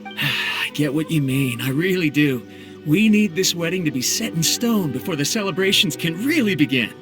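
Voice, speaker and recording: An actor playing a friendly, earnest young guard in a video game, polite and chatty. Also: male voice, fairly high pitch, animated, normal speed, close to an everyday voice. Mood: sympathetic. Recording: music behind the voice.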